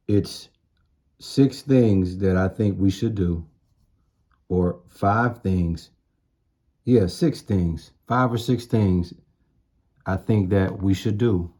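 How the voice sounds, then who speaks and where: A man's voice: low-pitched, warm tone. A man giving an informal sermon in blunt, streetwise slang, repeating phrases for emphasis. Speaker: street-style preacher.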